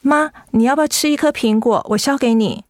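An actress playing a caring, anxious young daughter in a radio drama, speaking earnestly and solicitously. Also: female voice, high voice, brisk, no room sound, lightly acted. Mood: coaxing, concerned. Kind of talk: Mandarin radio drama acting.

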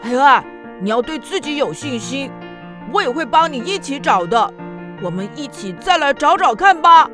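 Children's audio drama: kindly, encouraging Sun, high voice over music. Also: female voice, expressive, normal speed. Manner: reassuring, encouraging. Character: the Sun, a kind encouraging female-voiced friend. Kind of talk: children's radio drama acting.

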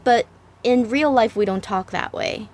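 A woman's voice: fairly high pitch, measured pace, a little mellow, slight background noise. A woman from Taiwan hosting a podcast, explaining things patiently in a casual chat and often repeating herself as she thinks. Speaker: podcast host and language exchange partner.

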